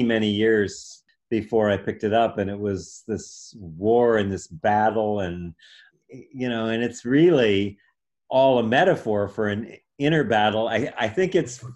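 A man hosting an interview, speaking in a relaxed, reflective conversational way. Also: mid-range voice. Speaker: host.

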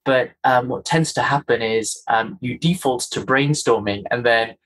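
Husky-voiced man, earnest and emphatic. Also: mid-range voice, normal speed, fairly bright tone, low-quality recording. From Kenya. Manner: earnest, emphatic, builds points in lists of rhetorical questions. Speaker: guest, culture and inclusion executive. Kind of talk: conversational interview, explanatory.

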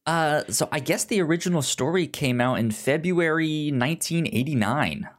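A man hosting a podcast in casual chat, with a halting delivery full of stammered restarts. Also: on the high side, expressive. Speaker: podcast host. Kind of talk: casual chat about comics and film.

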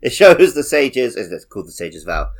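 A man's voice: mid-range voice, very animated, moderate pace, gravelly. A man co-hosting a podcast, chatting casually about a video game. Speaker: podcast host.

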